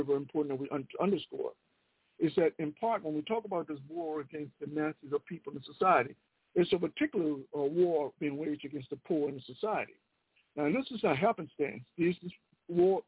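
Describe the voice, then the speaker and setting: A man with a husky voice giving earnest political commentary as a panelist, emphatic and repeating key phrases. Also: fairly high pitch, fairly quick, no echo. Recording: dry, narrow phone-line sound.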